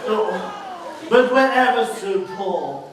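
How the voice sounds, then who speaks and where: A man's voice: sits high, lively intonation, takes it slowly, a crowd in the background. A man playing a boisterous, cheeky, motherly middle-aged village woman as a British pantomime dame, chatting theatrically to the audience. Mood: mock-pitiful gossip. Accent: British English. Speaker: pantomime performer. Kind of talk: live pantomime monologue with audience address. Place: theatre stage, recorded from a distance. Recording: roomy echoing stage, distant mic, faint noise.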